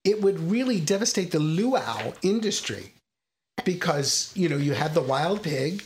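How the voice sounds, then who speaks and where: A woman's voice: lowish voice, very animated, unhurried, darkish tone, a little hiss or hum. A woman chatting casually on a talk show, with a sarcastic, irreverent, joking manner.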